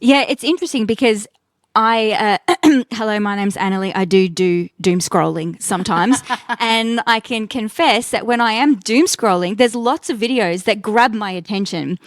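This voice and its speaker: A woman's voice: mid-range voice, moderate pace, no room sound, studio quality. A woman speaking on a live panel as a keynote speaker, reflective and candid in her answers. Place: convention stage.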